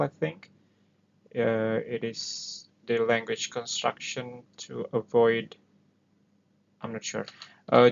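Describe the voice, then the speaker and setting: Man, Indonesian accent, thinking aloud with fillers, slow delivery, dull lo-fi sound. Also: medium pitch, creaky. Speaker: host. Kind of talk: casual explainer talk. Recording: dull lo-fi recording.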